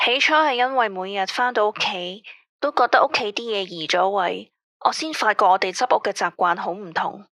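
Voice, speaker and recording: A woman's voice: medium pitch, brisk, fairly bright tone, no echo, clean studio sound, lightly acted. A woman playing a tired, uneasy married caller to a late-night radio show in a radio drama, speaking guardedly in Hong Kong Cantonese. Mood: uneasy, insistent. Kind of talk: radio drama phone-in dialogue.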